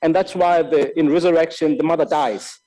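A man in his thirties from Lesotho giving a filmmaking master class, speaking reflectively and haltingly, repeating words and restarting often. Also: on the high side, mellow, rough recording. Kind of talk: reflective spoken lecture. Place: large bright hall with tall windows. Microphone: desk microphone on a stand.

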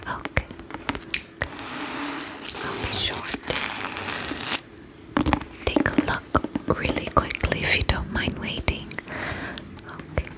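A woman in her twenties doing a soothing, attentive ASMR roleplay as a spa attendant, whispering softly close to the microphone. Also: slow, long pauses, low-quality recording. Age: 20s. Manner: soft, soothing, attentive customer-service patter. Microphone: close microphone, face near camera.

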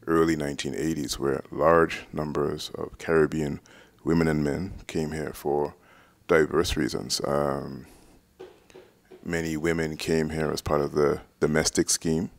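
A man in his thirties explains in a documentary interview, measured and full of ums. His voice sits extremely low with a gravelly fry. The handheld mic is close and the sound is dry. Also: dry recording. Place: indoors, red-walled room, seated on couch. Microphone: handheld mic close to mouth.

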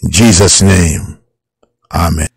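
A man's voice: sits low, fairly even intonation, slow pace, vocal fry, breathy edge, no room sound, clean studio sound. A man leading fervent Pentecostal prayer in short, repeated, declaimed petitions.

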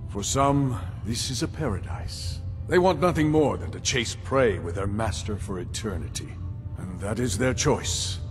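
An old, wise warrior chief from a fantasy game. His voice is low and grave, measured and weary, ringing in a long hall reverb with music underneath. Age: older. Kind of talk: scripted fantasy game dialogue.

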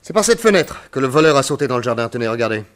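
An actor playing a shrewd, calm male detective who questions witnesses in a measured, probing way, in an old radio drama. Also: medium pitch, lightly acted.

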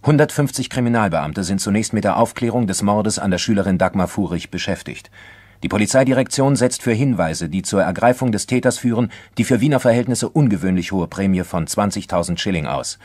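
An actor playing the sober narrator of a radio crime drama, reading in a measured, grave manner. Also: male voice, sits low, a little mellow, dry recording. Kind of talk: scripted documentary narration.

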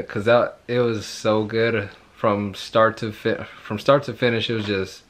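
A young man in his twenties, hoarse after yelling at a concert, talking excitedly and rambling in a casual vlog, filmed on a phone held at arm's length. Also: lowish voice. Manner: rambling, excited, repeats himself. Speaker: vlogger. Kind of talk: casual vlog talk to camera. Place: bedroom at home, then walking on a suburban street outdoors.

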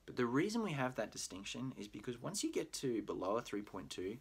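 A man's voice: medium pitch, big pitch swings, a little fast, vocal fry, husky. A middle-aged man with an Australian accent, a university program manager giving a recorded admissions talk in a friendly, explanatory way with frequent fillers, speaking to a webcam in a room at home. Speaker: pre-law program manager. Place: home room with bookshelf, indoors.

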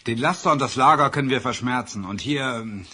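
An actor playing a smug, oily crime boss in a 1980s radio play, with a husky voice. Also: male voice, mid-range voice, brisk, bright, forceful tone. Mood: smug, menacing. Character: smug male crime boss, menacing and unhurried.

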